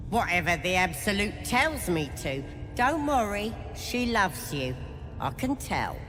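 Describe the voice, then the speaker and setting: A woman playing a coarse, zealous goblin priestess in a video game, wheedling and bossy in folksy speech. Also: medium pitch. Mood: coaxing, sly. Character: zealous goblin priestess, sly, commanding, folksy cult leader. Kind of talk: video game character acting. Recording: long reverb, music clearly behind.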